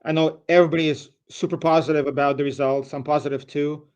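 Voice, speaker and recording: Slightly high-voiced man debating football. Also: little pitch movement, quick pace, darkish tone, smooth. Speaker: phone-in guest. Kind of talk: casual football debate chat.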